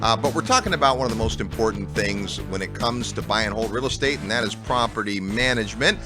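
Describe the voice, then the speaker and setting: A man's voice: lowish voice, quick pace, music in the background. A man hosting a radio talk show, upbeat and enthusiastic as he interviews a guest.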